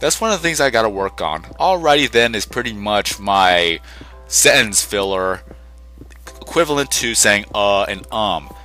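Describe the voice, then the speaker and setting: A man's voice: on the low side, animated, normal speed, sharp tone, soft background music. A young man hosting a casual gaming channel update, rambling and correcting himself as he goes, a little sick, congested and short on sleep. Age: young adult. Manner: rambling, self-correcting, conversational.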